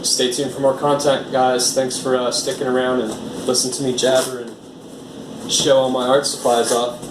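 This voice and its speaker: American man, relaxed rambly vlogger, off-mic in a reverberant room, steady AC hum behind. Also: mid-range voice, normal speed, far from the mic. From United States. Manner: rambling, filler-heavy, easygoing. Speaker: art vlogger. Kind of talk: casual unboxing chat.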